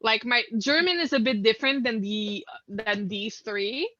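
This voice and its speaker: Podcast co-host, female, twenties, non-native English, bright casual delivery, dry and slightly muffled sound. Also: fairly high pitch, measured pace, no echo. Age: 20s. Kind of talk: casual chat.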